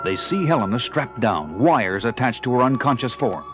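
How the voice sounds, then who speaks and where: A man narrates a scripted audio drama in a medium-pitched voice. Loud music plays under his storytelling. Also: expressive, normal speed.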